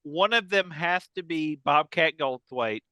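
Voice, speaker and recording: A man hosting a casual comedy discussion, thinking out loud in a halting way and repeating himself. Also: a little high, lively intonation, smooth, no echo. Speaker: podcast host.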